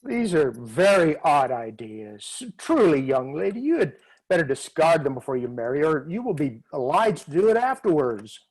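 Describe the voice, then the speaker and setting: A man reading a pompous gentleman suitor. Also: fairly high pitch, big pitch swings, moderate pace, poor audio. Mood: lecturing. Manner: stiff, formal, with small stumbles. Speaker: amateur reader in a dramatic reading. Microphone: video call.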